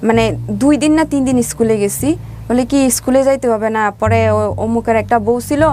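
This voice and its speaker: A woman's voice: fairly high pitch, normal speed, dark tone, slight background noise. A woman with a Bangladeshi Bengali accent telling her life story as an interview guest, colloquially, voicing the dialogue she recalls. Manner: colloquial, acts out reported dialogue.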